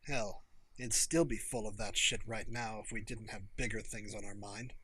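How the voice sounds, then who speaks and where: A man's voice: fairly low pitch, fairly even intonation, moderate pace, fairly bright tone, husky, voice pushed down. A man voicing a bitter, agitated young American barkeep who talks in emphatic, sweary, sarcastic rants. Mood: gloomy, scared.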